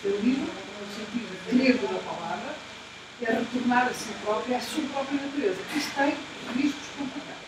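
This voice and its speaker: A woman's voice: fairly high pitch, normal speed. A woman talking in casual discussion, emphatic and repetitive, often asking rhetorical questions. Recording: echoey room, distant mic, noisy.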